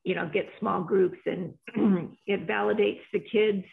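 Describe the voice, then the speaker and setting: A woman's voice: mid-range voice, fairly even intonation, unhurried, creaky. A woman making casual, meandering remarks at a club meeting over a video call. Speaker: club member. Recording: tinny phone-like line.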